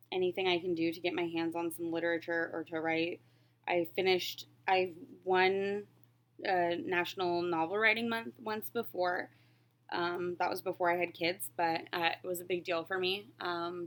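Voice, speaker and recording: A woman in her late twenties with a slightly low voice, talking in a casual vlog style. She rambles through answers in a candid, reflective way, like someone chatting while working on a craft. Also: level tone, moderate pace. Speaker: crafting vlogger. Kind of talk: casual chat, answering questions while stitching.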